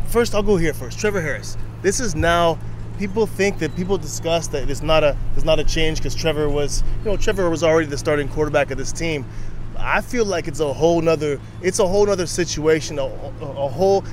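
A middle-aged man co-hosting a football chat show, talking in a flat, rambling way with many restarts, seated outdoors on a bench by a seawall. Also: a little high, brisk. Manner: monotone, rambling with repeated restarts. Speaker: podcast co-host. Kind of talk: casual football talk. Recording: outdoor traffic noise. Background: traffic and city sounds.

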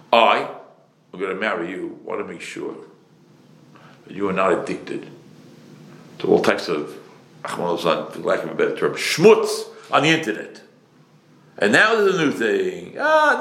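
A man's voice: fairly low pitch, a bit bright. A man lecturing as a rabbi, speaking emphatically with rhetorical questions and repeated phrases, mixing in Hebrew and Yiddish terms. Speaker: rabbi giving a Torah lecture. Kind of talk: sermon to a live audience. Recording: echoey room.

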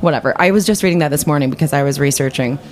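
A woman's voice: sits low, very fast, a little mellow. A woman co-hosting a radio show in a studio, bantering casually with playfully theatrical phrasing. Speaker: radio co-host.

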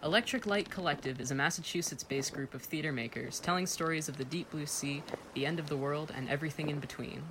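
Female presenter with a notably low voice, scripted credit read, slight background noise. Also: fast talker, hardly any pauses. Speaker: co-writer and presenter of the show. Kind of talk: scripted podcast closing credits.

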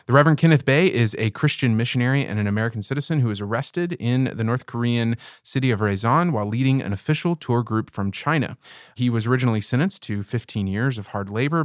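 A man's voice: mid-range voice, dry recording, clean studio sound. A man hosting a podcast, giving introductions and interviewing a guest in a conversational, presenter-like style. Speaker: podcast host.

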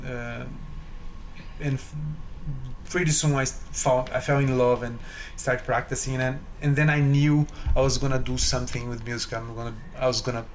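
A man's voice: on the high side, a little hiss or hum. A man from Brazil with a Brazilian accent, speaking earnestly as a guest in a casual interview and searching for his words. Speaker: guest pianist.

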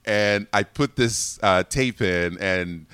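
A man talks in a deep, low voice. He tells his stories with animation and a ready laugh. The sound is close and dry, with no room around it. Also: takes it slowly, smooth, no room sound. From Congo. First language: French. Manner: animated, laughs often while telling stories. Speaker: guest. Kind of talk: casual interview storytelling.

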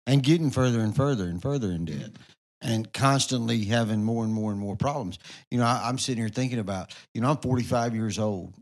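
Rambling man, mid-pitched, casual. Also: a little fast. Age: 40s. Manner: rambling, fillers like "you know". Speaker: podcast co-host. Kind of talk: casual church podcast talk.

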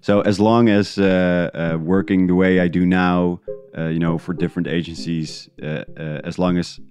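Low-voiced, halting man from the Netherlands. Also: flat delivery, darkish tone, lo-fi sound, faint music behind. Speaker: podcast creator and interview guest. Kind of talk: casual chat.

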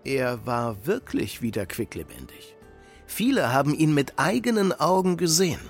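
Gentle, husky, creaky-voiced father. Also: mid-range voice, sing-song, moderate pace, faint music behind. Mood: patient, earnest. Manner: patient, explaining. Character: gentle widowed father explaining faith to children. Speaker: actor in a children's audio drama. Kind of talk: German children's radio play acting.

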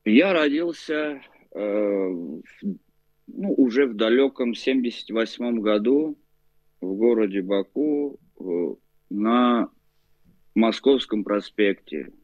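A man in his forties from Azerbaijan, a guest telling his life story in a casual interview, halting with many fillers. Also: lowish voice, expressive, darkish tone, no room sound, dull audio. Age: 40s.

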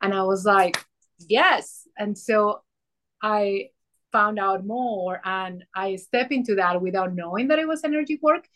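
A woman with a Peruvian Spanish accent speaks as a podcast guest. She tells her story at an easy, unhurried pace, with frequent fillers and small restarts. Her pitch sits in a normal middle range. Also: a bit bright. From Peru. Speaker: guest, soul alignment mentor. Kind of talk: casual podcast conversation.

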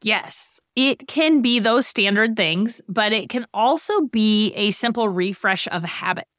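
A woman's voice: medium pitch, animated, unhurried, a bit bright, dry recording. Dry, explanatory woman in her late thirties. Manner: explanatory, gives examples. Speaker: podcast co-host. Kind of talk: casual chat, explaining ideas.

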